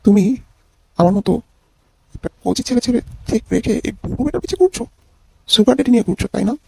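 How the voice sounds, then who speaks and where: A man voicing a bitter young jilted ex-boyfriend with a high, husky voice and a needling manner in an amateur comedy cartoon. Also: lively intonation, quick pace, a little hiss or hum, poor audio. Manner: taunting, needling.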